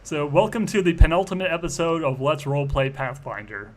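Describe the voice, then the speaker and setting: A man's voice: a little high, lively intonation, a little fast, noisy background. A man hosting and playing a tabletop roleplaying game, chatting casually in a rambling, stammering, jokey way.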